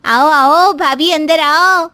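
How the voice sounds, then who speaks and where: Naive young sister for a story cartoon, played by a woman: high, girlish, eager, simple-minded, insistent. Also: slow pace, bright, forceful tone, exaggerated voice. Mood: cheerful, eager. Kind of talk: Hindi moral story cartoon voice-over.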